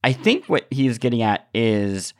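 A man co-hosting a podcast in casual discussion, thinking aloud with animated enthusiasm. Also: medium pitch, a little slow. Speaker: podcast co-host.

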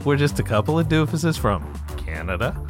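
Animated man in jokey podcast promo. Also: medium pitch, normal speed. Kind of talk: tongue-in-cheek scripted promo. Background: music.

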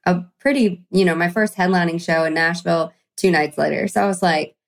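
Chatty woman telling stories, lowish voice. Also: brisk, vocal fry. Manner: chatty, storytelling, upbeat. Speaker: country singer guest. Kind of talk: casual interview chat.

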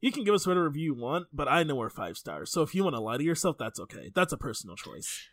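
Male podcast host, informal and joking storyteller, very lively intonation, close dry sound. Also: fairly high pitch, quick pace, dry recording. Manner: informal, jokey, sweary. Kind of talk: casual chatty podcast storytelling.

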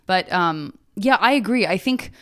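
A woman's voice: mid-range voice, expressive. Playful American woman hosting a podcast. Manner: playful, joking, quick to laugh. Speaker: podcast host. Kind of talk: casual chat.

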